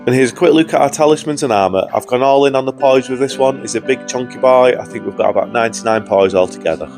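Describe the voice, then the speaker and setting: A young man with a cold-roughened voice, giving upbeat, chatty commentary over gameplay footage as a video host. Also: medium pitch, quick pace, music in the background. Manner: upbeat, chatty, says "guys" often. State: hoarse from a cold. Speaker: gaming YouTuber.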